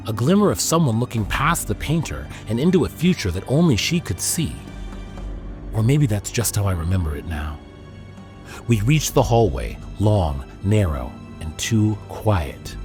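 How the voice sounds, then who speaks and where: A man narrates a horror story in the first person. His voice is low yet moves expressively, with dramatic, ominous phrasing. Music runs underneath the reading. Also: darkish tone. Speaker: audiobook narrator.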